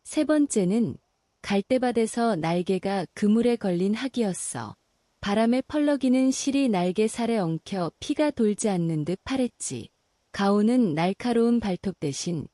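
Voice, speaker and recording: Female storyteller for a bedtime story, mellow and soothing, melodic delivery, dry close sound. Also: a little high, animated, no echo. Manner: slow, soothing and gentle. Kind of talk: calm bedtime story narration.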